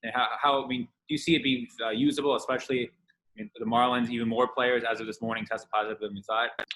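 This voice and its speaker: A man co-hosting a casual sports interview, halting and repeating words as he frames his questions. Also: medium pitch, flat delivery, fairly bright tone, poor audio. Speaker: podcast co-host, interviewer.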